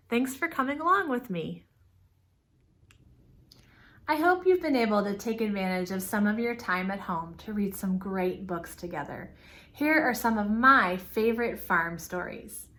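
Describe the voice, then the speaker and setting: A woman's voice: fairly high pitch, bright, forceful tone. A woman hosting a storytime, speaking simply and expressively to young children.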